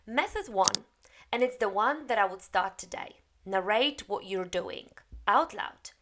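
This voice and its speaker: A woman's voice: medium pitch, expressive, unhurried, a bit bright, a little hiss or hum. A woman, an English coach giving a direct, encouraging spoken lesson. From Poland. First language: Polish. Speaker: English coach and TEFL teacher.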